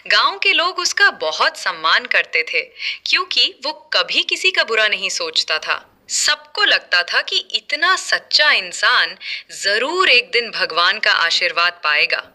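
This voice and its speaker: A woman's voice: mid-range voice, very animated, a little slow, bright, forceful tone. A woman telling a moral folk tale as a scripted narration. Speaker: storyteller.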